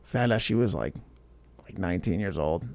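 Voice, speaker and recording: A man's voice: deep voice, expressive, moderate pace, darkish tone, no room sound. An American man in his thirties talking in casual, crude banter as a podcast co-host. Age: 30s.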